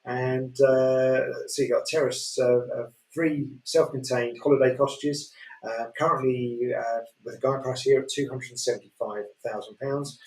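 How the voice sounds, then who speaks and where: A man with a Yorkshire accent presenting auction lots in a relaxed way, full of fillers. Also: medium pitch, normal speed. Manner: relaxed, many fillers, chuckles. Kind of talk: casual chat describing property lots. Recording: echoey room, distant mic.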